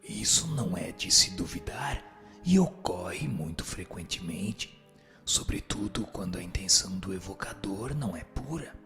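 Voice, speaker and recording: A man playing a solemn spirit who answers questions with moral authority, in a measured, formal, didactic radio drama reading. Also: sits low, animated, gravelly, a bit bright, heavily acted. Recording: music bed under the voice.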